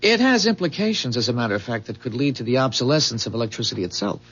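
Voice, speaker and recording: An actor playing an earnest, idealistic inventor in a 1970s radio drama, explaining things in a precise, formal way with some hesitant stammers. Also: male voice, mid-range voice, lively intonation, very fast, fairly bright tone. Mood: proud. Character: earnest, idealistic male inventor, proud of his revolutionary engine. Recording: dull, muffled 1970s radio broadcast recording.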